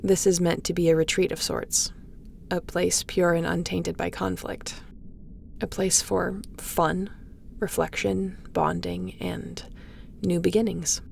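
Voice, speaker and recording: A woman's voice: lowish voice, flat delivery, takes it slowly, gravelly, a little mellow, a little hiss or hum, full character voice. A woman playing a guarded, wry starship captain and ace pilot in an intimate audio roleplay, with a sardonic, conversational way of talking. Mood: calm, explaining. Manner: sardonic, conversational, sighing and teasing.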